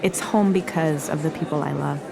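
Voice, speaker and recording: A woman's voice: low voice, moderate pace, creaky, a crowd in the background, rough recording. A woman playing a young Mexican-American restaurant worker, playful, self-effacing and smitten, in a romantic audio drama. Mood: earnest, modest.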